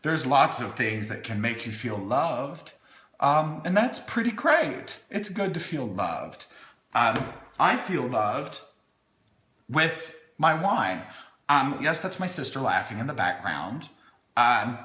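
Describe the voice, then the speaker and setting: A man's voice: medium pitch, sing-song, slow, bright, forceful tone. A man hosting a playful storytime, reading a children's book with wry, chatty asides in a theatrical way, as if talking to small children. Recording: echoey room.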